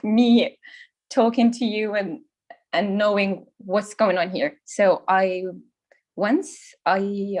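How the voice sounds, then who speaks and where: A young woman in an online discussion group, speaking haltingly in a learner's English, full of fillers and restarts. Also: medium pitch, darkish tone. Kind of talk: online casual chat.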